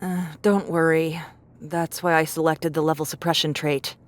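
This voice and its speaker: A woman's voice: deep voice, level tone, moderate pace, close to an everyday voice. A woman playing a pragmatic, self-assured young survivor in an audiobook drama, confident and matter-of-fact. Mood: reassuring, matter-of-fact.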